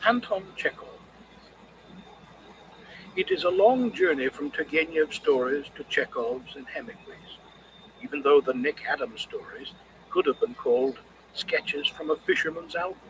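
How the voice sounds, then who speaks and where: A man reading scripted literary criticism as an audiobook narrator, in a measured, expressive way. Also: fairly high pitch, slight background noise, rough recording.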